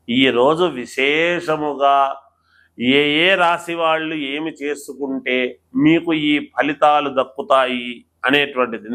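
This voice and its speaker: A man speaking as an astrologer, explaining remedies to viewers in a deliberate, instructional way and repeating phrases. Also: on the high side, a bit bright. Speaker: astrologer presenter.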